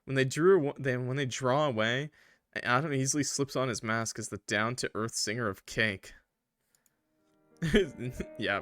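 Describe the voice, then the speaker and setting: A man streaming a visual novel, switching between dramatic narration and animated, jokey, sweary commentary. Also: mid-range voice.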